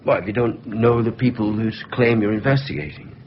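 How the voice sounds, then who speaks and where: An actor playing a polite, flustered insurance assessor with a Scottish accent, hesitant and formal in a radio drama. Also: male voice, fairly low pitch, steady tone, a little fast, natural voice. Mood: hesitant, guarded. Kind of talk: naturalistic radio drama acting. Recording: muffled, noisy off-air radio recording.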